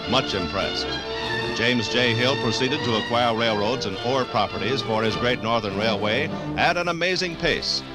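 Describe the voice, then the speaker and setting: Man, vintage documentary narration, medium pitch, measured dramatic delivery, scripted, formal. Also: rough recording. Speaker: radio narrator.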